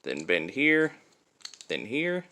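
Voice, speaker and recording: A man's voice: mid-range voice, wildly swooping pitch, slow, sharp tone. A man giving a casual hands-on demonstration as a toy reviewer, explaining things step by step.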